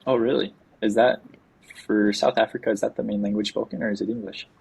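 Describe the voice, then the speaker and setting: Podcast host, man, deep flat husky voice, earnest and eager. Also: quick pace, rough recording. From United States. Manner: earnest, enthusiastic, often restarts words. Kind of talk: casual interview chat.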